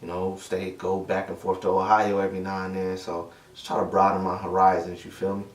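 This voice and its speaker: A man's voice: sits low, monotone, a little fast. A young man talking casually in an interview, slangy and laid-back, often asking "you know what I mean.". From Detroit, USA. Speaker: interviewed rapper. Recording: roomy, distant mic, music behind.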